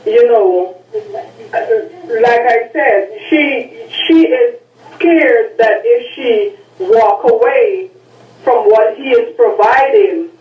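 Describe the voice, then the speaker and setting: A woman's voice: fairly high pitch, expressive, extremely slow, reverberant, recorded from a distance, phone-quality sound. A woman speaking hesitantly with many fillers on a talk show. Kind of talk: casual talk show chat.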